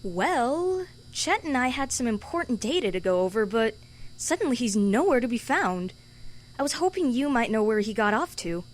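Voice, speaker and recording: A woman's voice: on the high side, sing-song, normal speed. A woman playing a young intern bluffing her way along, casual and persuasive, in a comic-book audio drama. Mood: breezy. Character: young female intern, breezy undercover bluffer.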